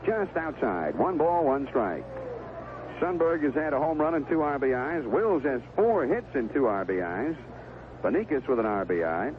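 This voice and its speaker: An American male sportscaster calls a ballgame with a very lively, swooping delivery. He speaks in short, conversational phrases. The sound is a vintage radio broadcast, thin and phone-like, with clear noise behind him. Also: mid-range voice, moderate pace. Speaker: baseball play-by-play radio announcer. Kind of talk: live sports commentary. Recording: vintage 1970s AM radio broadcast, tinny, noisy.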